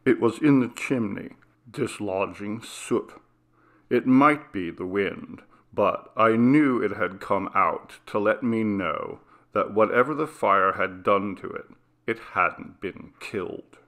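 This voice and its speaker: A man narrating a classic ghost story in a measured, hushed reading style. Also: lowish voice. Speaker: audiobook narrator.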